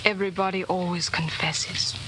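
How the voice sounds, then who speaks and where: A woman's voice: on the low side, steady tone, normal speed, close to an everyday voice. An actress playing a loyal, tender and resolute young woman in love, in 1940s radio drama style. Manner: tender, steadfast. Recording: noisy 1949 radio broadcast recording.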